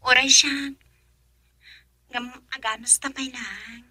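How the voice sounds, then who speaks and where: A woman's voice: fairly high pitch, fairly even intonation, slow pace, bright, forceful tone, breathy edge, no echo, heavily acted. A woman playing a timid, worried college-age girlfriend in an Ilocano radio drama.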